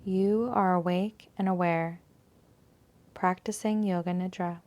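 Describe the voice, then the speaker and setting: A woman teaching a guided yoga nidra meditation in a soothing, unhurried, gentle manner, leaving long pauses between phrases. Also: lowish voice, steady tone.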